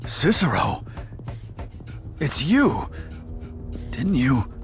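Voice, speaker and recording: A man's voice: medium pitch, big pitch swings, extremely slow, vocal fry, heavily acted. An actor, a man, playing a stoic rabbit hero in a video game, with terse, dry delivery. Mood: shocked. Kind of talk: video game cutscene acting. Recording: game music behind the voice.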